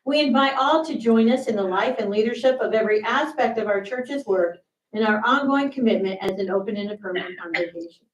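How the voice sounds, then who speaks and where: A woman's voice: on the high side, fairly quick. A woman pastor, giving casual announcements and opening worship in a church sanctuary. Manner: conversational, relaxed with fillers. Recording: roomy church sanctuary from a distance.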